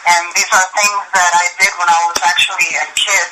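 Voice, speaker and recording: A woman's voice: lowish voice, flat delivery, brisk, over music, poor audio. A woman from Mexico, a guest talking chattily and rambling with fillers. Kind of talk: interview on a call-in line.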